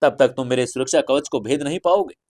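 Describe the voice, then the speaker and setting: A man's voice: medium pitch, fast, darkish tone, close to an everyday voice. Man voicing an arrogant, wrathful Indian sect villain. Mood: scornful. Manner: scornful, indignant. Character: arrogant, wrathful male dark-sect villain with corpse puppets.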